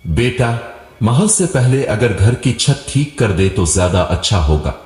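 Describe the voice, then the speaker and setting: A man's voice: on the low side, expressive, unhurried, a little mellow. A man voicing a worried, wry village mother with an anxious way of talking, in a storybook tale. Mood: worried, pleading. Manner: anxious, muttering. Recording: roomy echo with long reverb, music behind.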